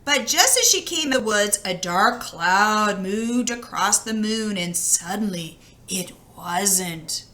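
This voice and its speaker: A woman reading a story aloud in an expressive, conversational way, as if talking with her listeners. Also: medium pitch. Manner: expressive, conversational, pausing to comment. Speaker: storybook reader. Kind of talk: read-aloud storytelling with chatty asides to listeners.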